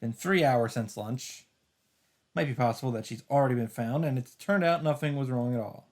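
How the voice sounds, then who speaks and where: A man's voice: mid-range voice, normal speed, a little mellow. A man hosting a let's play, reading visual novel narration aloud and adding dramatic asides as he reacts.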